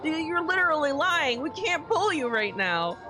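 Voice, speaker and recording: A woman's voice: high voice, big pitch swings, moderate pace. A woman game streamer giving casual, playful live commentary, sometimes sweary and reading game text aloud. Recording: game music behind.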